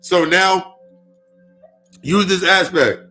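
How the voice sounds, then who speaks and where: Bright-voiced man in his thirties. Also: sits high, extremely slow, faint music behind. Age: 30s. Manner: profane, repetitive, emphatic. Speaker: spiritual speaker. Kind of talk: casual spiritual monologue.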